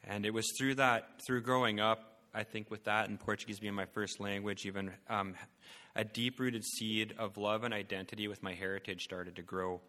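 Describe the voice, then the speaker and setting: A man presenting to a church about his family and calling, speaking haltingly with many fillers and restarts. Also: lowish voice, flat delivery, bright, forceful tone, long reverb tail. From Canada.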